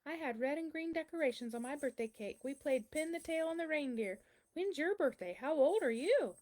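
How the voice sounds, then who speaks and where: Woman as an eager, curious little girl, high-pitched. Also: lively intonation, brisk, darkish tone. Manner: eager, questioning. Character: curious, earnest seven-year-old girl writing letters. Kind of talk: children's storybook read-aloud.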